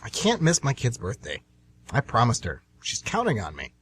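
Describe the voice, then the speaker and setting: A man's voice: mid-range voice, huge pitch swings, normal speed, slight background noise. A man playing a hopeful, earnest train passenger and devoted father in an amateur radio drama, somewhat hesitant in speech. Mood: earnest, determined.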